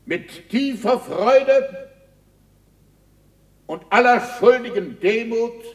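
A humble flattering scholar making a big slow speech, high voice, echoey hall far from the mic, old radio play. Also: male voice, exaggerated voice. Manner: declamatory, deliberate, deferential. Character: Galileo as ceremonious, humbly flattering scholar addressing dignitaries. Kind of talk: formal oration in 1960s radio drama.

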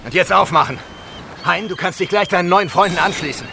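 A callous thug in a radio horror play. His creaky, slightly high voice is sarcastic and mocking, with clear ambient noise around it. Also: a little fast. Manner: sneering, sarcastic. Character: mocking, callous male henchman with a gun. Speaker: actor in a horror radio drama.

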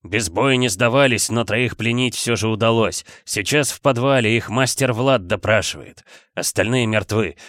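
A man's voice: lowish voice, normal speed, a bit bright, no echo, studio quality, lightly acted. A man playing a dutiful warrior sergeant in a fantasy audiobook, giving a deferential military report to his lord.

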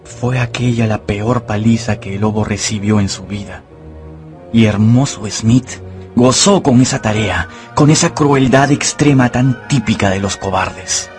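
A man's voice: lowish voice, unhurried, darkish tone. A man giving literary storytelling narration for a radio adaptation of a novel. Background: music.

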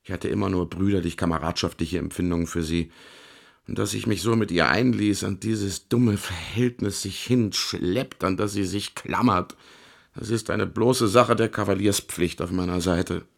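An actor playing a troubled, self-justifying artist and ladies' man in a radio play, confiding in a halting, theatrical way. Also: low voice, vocal fry. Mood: rueful, confiding.

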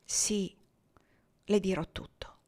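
A gentle old man speaks through tears in a storybook reading, as a woman puts him on, soothing and earnest. His words often sink to a soft, near-whispered hush. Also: medium pitch, fairly even intonation, takes it slowly. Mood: tender. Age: older. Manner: tearful, soothing.

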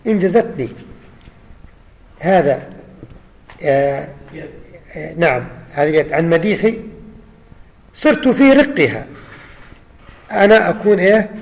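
Male scholar, high voice, lesson delivery, recites and repeats verse, long hall reverb. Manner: recites verses then explains them, repeats lines. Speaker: Islamic scholar teaching. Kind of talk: religious lesson explaining poetry.

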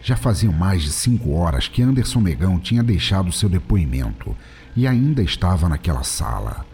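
A man's voice: deep voice, very animated, moderate pace, darkish tone, husky, soft background music. A man reading scripted narration for an audio drama.

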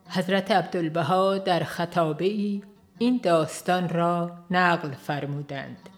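A woman narrating a religious book from a script, in a measured, formal literary manner. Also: on the low side. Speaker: audiobook narrator.

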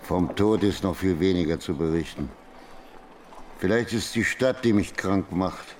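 Radio drama: weary, melancholy musician, deep voice, unhurried delivery, brooding and musing. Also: male voice, a little mellow, a little hiss or hum. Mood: wistful, despondent. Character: Gottfried Reiche, weary, troubled male trumpeter, brooding and melancholy. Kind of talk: German radio drama acting.

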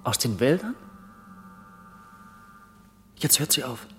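An actor playing an awestruck, grieving young shipwrecked prince, declaiming reverent lofty verse in a classic radio drama. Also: male voice, mid-range voice, sing-song, slow, in a large hall, a little hiss or hum. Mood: wondering, mournful.

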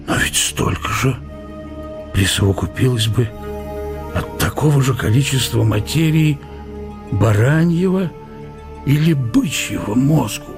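An actor playing a brooding middle-aged Russian scientist of the eighteenth century in a radio drama, reflective and declamatory, with archaic, bookish diction. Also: male voice, mid-range voice, lightly acted. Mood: bitter musing.

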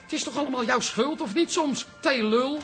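An actress playing a bossy, gossipy older toilet attendant in a radio comedy, sharp-tongued and self-important. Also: female voice, high-pitched, normal speed, faint music behind, natural voice. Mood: scornful. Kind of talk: radio comedy drama acting.

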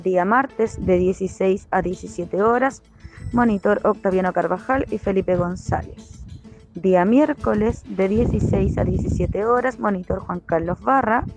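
A woman announcing on radio, reading scripted lists item by item in a measured way. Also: medium pitch, a little mellow. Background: faint music.

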